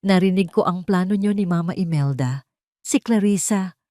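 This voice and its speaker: A woman acts out a heartbroken wife in an audio drama. She is wounded but defiant, straining to sound firm, with a slightly low pitch. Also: normal speed, mellow, dry recording, studio quality, lightly acted. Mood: hurt, accusing. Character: betrayed, heartbroken wife, trembling yet defiant.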